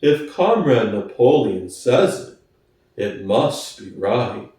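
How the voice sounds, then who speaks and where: Man doing a slow, earnest old-style storybook workhorse. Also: mid-range voice, sing-song, dark tone, voice pushed down. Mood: resigned, trusting. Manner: slow, laboured, stolid delivery. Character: slow-witted, earnest, loyal big male workhorse. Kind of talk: dramatic audiobook reading. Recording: echoey room, distant from mic.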